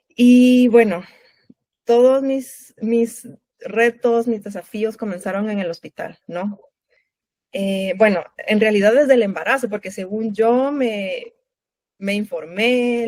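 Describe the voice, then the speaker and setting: A woman's voice: mid-range voice, a little mellow, no echo. A woman in her thirties with a Guatemalan Spanish accent speaks as an interview guest, a lactation consultant sharing her own story. Her mid-range voice sounds close and dry. She chats personally and keeps checking in with little tag questions. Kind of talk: conversational interview.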